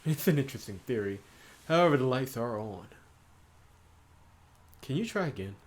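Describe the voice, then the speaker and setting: A man's voice: medium pitch, expressive, moderate pace, natural voice. A man voicing a composed, businesslike female police inspector in a visual novel, polite, firm and formal.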